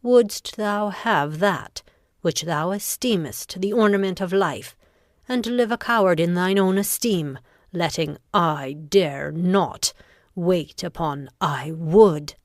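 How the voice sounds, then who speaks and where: Woman doing a ruthless scornful noblewoman, shakespeare audiobook, lowish voice, really slow, dry close sound. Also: no echo. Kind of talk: Shakespearean dramatic audiobook reading.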